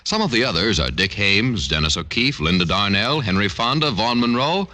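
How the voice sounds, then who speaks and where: A man's voice: fairly low pitch, lively intonation, normal speed, bright, forceful tone. A man announcing a program and its commercials in scripted 1940s radio style. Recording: old radio recording, faint music.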